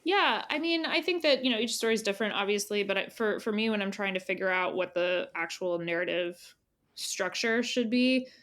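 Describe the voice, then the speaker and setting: A woman's voice: mid-range voice, brisk, a bit bright. A woman speaking as an editor being interviewed, in a casual conversational style, thinking aloud with frequent "you know" and "like". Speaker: magazine editor, interview guest.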